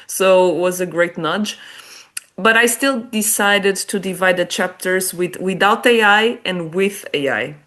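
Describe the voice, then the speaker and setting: A woman's voice: lowish voice, a little slow, a bit bright. A woman with a Portuguese accent, a non-native English speaker, explaining her ideas in a chatty, casual interview. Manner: chatty, explanatory, many restarts and small laughs. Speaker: guest author and business development head.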